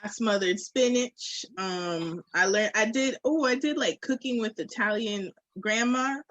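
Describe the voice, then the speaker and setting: A woman's voice: on the high side, measured pace, fairly bright tone, no echo, lo-fi sound. Woman in dry, close casual chat. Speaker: podcast co-host.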